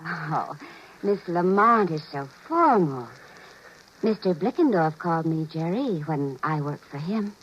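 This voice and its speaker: Coy, low-pitched flirtatious secretary. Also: female voice, lively intonation, measured pace. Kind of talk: 1950s radio drama acting. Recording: muffled, noisy vintage 1950s radio recording.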